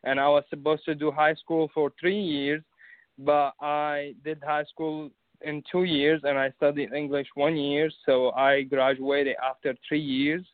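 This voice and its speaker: A man's voice: a little high, flat delivery, moderate pace, no room sound. A young man with an Arabic accent, a guest in a casual interview, talking hesitantly with many fillers and restarts. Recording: phone-like narrow sound, dry.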